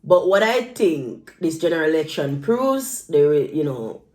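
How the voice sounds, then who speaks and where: A woman's voice: sits low, big pitch swings, measured pace, a little mellow. A woman from Jamaica giving casual political commentary, conversational and repeating phrases for emphasis.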